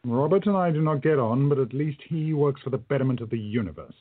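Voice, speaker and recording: Man acting an animated, courtly gentleman time traveller. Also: mid-range voice, fast talker, breathy edge, no room sound, narrow, tinny audio. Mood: stern, warning. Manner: polite, formal, emphatic. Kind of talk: radio play acting.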